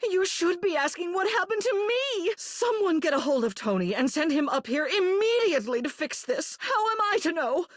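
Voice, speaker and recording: A woman's voice: extremely high pitch, big pitch swings, moderate pace, hardly any pauses, no echo, clean studio sound. A woman voicing a pompous older lady mayor, a living household object in a video game, who speaks in a grand, formal, theatrical manner. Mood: distressed, outraged. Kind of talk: scripted video game character lines.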